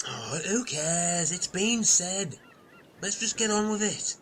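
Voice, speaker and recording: Woman playing slow-drawling, grumpy castaway. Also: fairly low pitch, animated, a little hiss or hum. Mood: exasperated. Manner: blunt, exasperated, drawling. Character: grumpy, impatient, sweary castaway. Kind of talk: improvised comedy audio drama.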